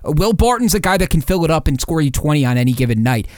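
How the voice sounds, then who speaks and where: A man's voice: fairly high pitch, sing-song, fast, darkish tone, no room sound, clean studio sound. A man co-hosting a sports podcast in casual, slangy, emphatic talk with rhetorical questions.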